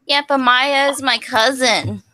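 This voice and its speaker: Lady w/ bright highish voice, casual book club chat on a phone video call. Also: steady tone, a little slow, dry recording.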